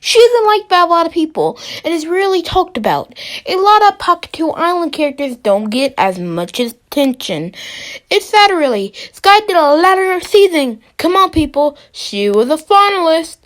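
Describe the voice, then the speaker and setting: A woman's voice: extremely high pitch, sing-song, normal speed, fairly bright tone. A woman giving informal, opinionated ranking commentary in a playfully dramatic way. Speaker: video host.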